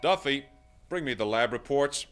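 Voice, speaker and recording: An actor playing a shrewd, dogged police lieutenant in a 1970s radio mystery, firm and probing. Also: male voice, medium pitch, lively intonation, normal speed, over loud music, lightly acted. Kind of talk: 1970s radio drama acting.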